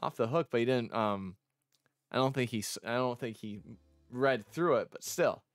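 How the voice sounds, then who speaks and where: A man chats casually as a streamer walking viewers through a visual novel. The delivery is halting and full of self-interrupting asides. The sound is very dry, with music behind it. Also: medium pitch, no echo. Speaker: vtuber streamer showing their own visual novel. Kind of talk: casual commentary and read-aloud of a visual novel. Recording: music under the voice.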